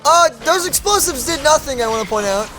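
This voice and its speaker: A gaming channel host reacts to the game with animated, playful exclamations. The voice swings widely in pitch, over quiet game music. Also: fairly quick. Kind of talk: casual let's-play commentary.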